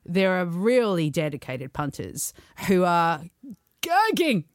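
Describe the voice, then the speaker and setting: An Australian woman, a singer, chatting on a podcast in a lively storytelling way and laughing often. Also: fairly low pitch, slow, dry recording, studio quality. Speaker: singer guest. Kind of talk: casual podcast chat.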